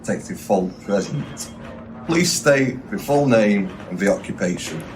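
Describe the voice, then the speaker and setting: An actor playing a blunt, cynical police detective in an audio drama, with a formal, sardonic way of talking. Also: male voice, fairly low pitch, animated, measured pace, soft background music. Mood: officious, dry.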